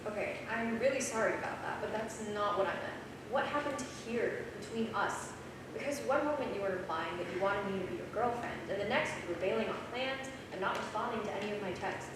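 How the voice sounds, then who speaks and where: A woman's voice: medium pitch, expressive, a little fast, lightly acted. A woman playing a hurt, direct and confrontational young woman in a staged script reading. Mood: worried, hurt. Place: small theater, recorded from the audience. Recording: distant, very echoey noisy theater recording.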